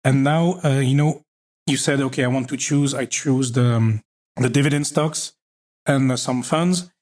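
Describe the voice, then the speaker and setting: A man from Belgium hosts a podcast interview. He asks questions conversationally and often murmurs agreement. His pitch sits in the middle. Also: normal speed, darkish tone. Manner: conversational, frequent backchannels. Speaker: podcast host. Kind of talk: casual interview talk.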